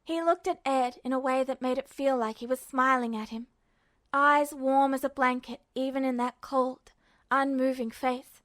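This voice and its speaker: A woman's voice: high voice, steady tone, normal speed, fairly bright tone. A woman reading scripted fiction aloud as a narrator. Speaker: podfic narrator.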